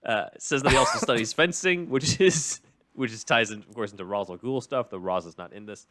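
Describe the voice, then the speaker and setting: A man hosting a podcast, casually recapping and commenting on a script in a measured, explanatory way. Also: medium pitch, a bit bright, no echo. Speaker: podcast host.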